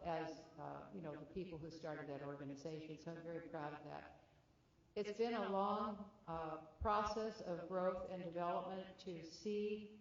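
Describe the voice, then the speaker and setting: A woman's voice: fairly low pitch, a little hiss or hum. An older woman from Los Angeles giving a heartfelt, reminiscing acceptance speech at an awards event. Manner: heartfelt, reminiscing, with restarts and fillers. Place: event hall. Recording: echoey hall, dull lo-fi audio.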